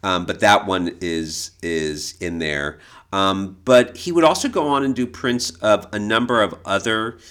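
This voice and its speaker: A man with a low-pitched voice talks as a podcast co-host. He explains things conversationally, in a measured way, pausing and hesitating as he goes. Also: fairly bright tone, smooth. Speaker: podcast co-host, art expert.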